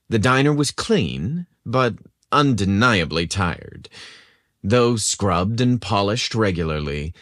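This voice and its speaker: A man narrating scripted fiction in a descriptive, deliberate storytelling manner with expressive emphasis. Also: sits low, no room sound, studio quality.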